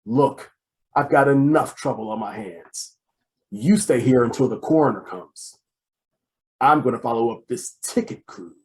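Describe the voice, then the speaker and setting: A man playing a brusque, exasperated, wisecracking police detective in an old-time radio comedy mystery, gruff and sardonic. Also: medium pitch, a little slow, darkish tone, close to an everyday voice. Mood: impatient. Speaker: voice actor.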